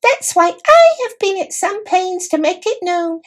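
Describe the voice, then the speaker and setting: A woman voicing a tiny, proud male warrior mouse from a storybook, shrill and pompously courtly. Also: sing-song, measured pace, full character voice. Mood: proud, indignant.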